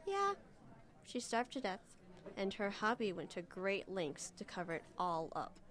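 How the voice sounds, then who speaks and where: A woman's voice: mid-range voice, sing-song, a little slow, a bit bright. An actress playing a suspicious, insistent woman in a radio drama, speaking conversationally with pressing emphasis. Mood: suspicious, urgent. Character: suspicious, insistent woman digging into a murder case.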